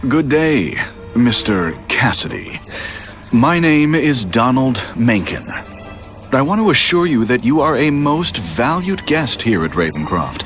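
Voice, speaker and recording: A man's voice: medium pitch, sing-song, slow, fairly bright tone, faint music behind, exaggerated voice. An actor playing a courteous, formal male asylum director in a video game. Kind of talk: video game cutscene acting.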